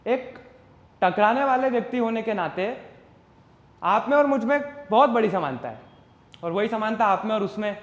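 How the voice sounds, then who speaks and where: A man performing a stage monologue as an uncertain, philosophical common man, speaking deliberately and reflectively straight to the audience. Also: sits high, lo-fi sound. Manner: deliberate, reflective, rhetorical, addressing the audience directly. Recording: roomy echo with long reverb.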